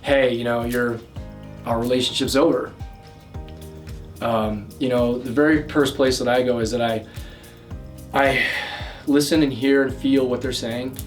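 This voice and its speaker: Loud, energetic male love coach. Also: mid-range voice, measured pace, long pauses, distant mic, soft background music. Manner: loud, energetic, talks with many fillers and restarts. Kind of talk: direct-to-camera advice talk.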